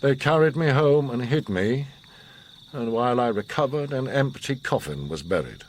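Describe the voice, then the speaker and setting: An actor playing a grave, commanding, enigmatic older war survivor in a radio drama, speaking in a measured, solemn, philosophical way. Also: male voice, mid-range voice, expressive, close to an everyday voice. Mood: sombre recollection. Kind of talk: radio drama monologue and dialogue.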